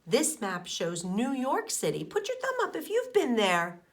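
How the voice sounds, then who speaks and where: A middle-aged woman, a schoolteacher, reading a picture book aloud to young children and explaining it in simple, deliberate phrases with questions to the listeners. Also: a little high, wildly swooping pitch. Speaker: elementary school teacher.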